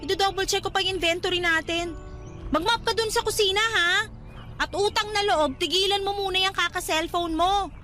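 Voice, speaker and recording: A woman's voice: very high-pitched, brisk, sharp tone, faint music behind. A young woman with a Filipino accent playing a nagging, sensible cousin and coworker in a radio drama, scolding and emphatic. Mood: exasperated scolding.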